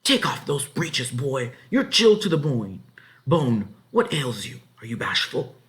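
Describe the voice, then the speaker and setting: A man's voice: mid-range voice, big pitch swings, a little slow, a bit bright, voice pushed up. A man voicing a stern, exasperated farmer's wife with a commanding, exclaiming manner in a read-aloud story. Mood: impatient, demanding.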